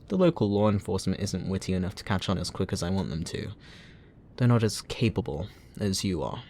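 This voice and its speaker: Man acting as obsessive male villain, lowish pitch, gloating, taunting, drawn-out theatrical delivery, roleplay ASMR drama. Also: a little mellow. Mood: teasing, playful.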